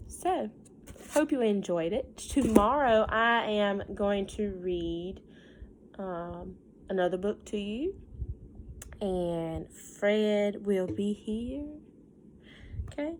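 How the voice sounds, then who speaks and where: A woman reads a storybook aloud like a teacher with a class of little ones. Her pitch moves up and down in a lively way as she shifts between the text and gentle, chatty prompts. There is clear noise behind her. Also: poor audio. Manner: expressive, gentle teacherly talk, prompting listeners with questions. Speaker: teacher reading a picture book aloud. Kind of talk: storybook read-aloud with chatty asides to young children.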